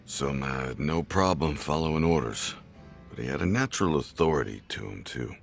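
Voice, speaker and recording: A man voicing a boozy, world-weary old former yakuza boss with a gravelly, rambling delivery in an English game dub. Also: very deep voice, lively intonation, normal speed, exaggerated voice. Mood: nostalgic, musing. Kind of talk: video game cutscene dialogue. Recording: music behind the voice.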